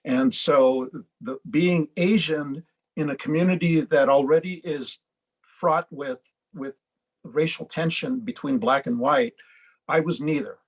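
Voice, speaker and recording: A man on a community panel speaking reflectively, pausing and restarting as he thinks. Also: fairly high pitch, rough recording. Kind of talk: panel discussion over video call.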